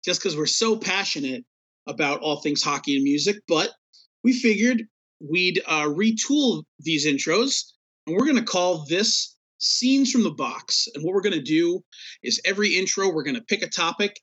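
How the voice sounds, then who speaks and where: Rambling New podcast host, muffled. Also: male voice, fairly high pitch, lively intonation, normal speed, a bit bright, no room sound. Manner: laid-back, rambling with many fillers. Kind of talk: casual hockey podcast chat.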